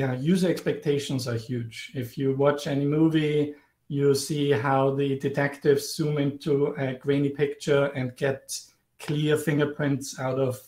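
Austrian-accented male professor, forties, slightly higher voice, explains clearly, roomy webcam audio. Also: a little mellow. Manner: clear, explanatory, lightly humorous. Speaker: guest lecturer, professor. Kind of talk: online guest lecture. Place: indoors, home or office, virtual background. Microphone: video call webcam. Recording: roomy video call audio.

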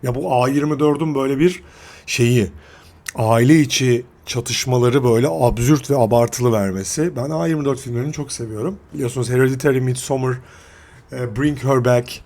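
A man giving casual, slangy film commentary as a video reviewer, halting and correcting himself as he goes. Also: medium pitch, a little mellow. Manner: halting, self-correcting delivery.

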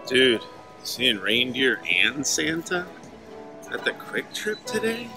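A man in his forties filming himself ice fishing outdoors with a handheld phone, chatting in an excitable, self-deprecating way. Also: medium pitch, takes it slowly, gravelly, music in the background. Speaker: fishing vlogger. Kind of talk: casual vlog narration. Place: outdoors on a frozen pond. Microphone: phone camera at arm's length.

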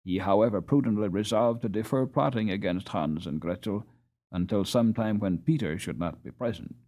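A man reading a children's novel aloud as an audiobook narrator, in an expressive, dramatised way. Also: on the low side, moderate pace. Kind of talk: scripted storybook narration.

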